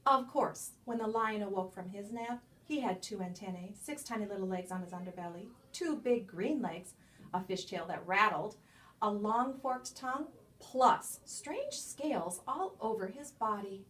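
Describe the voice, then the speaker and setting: A woman's voice: mid-range voice, normal speed, a bit bright. Woman reading a children's story, distant mic. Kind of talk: children's picture book read-aloud. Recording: distant microphone.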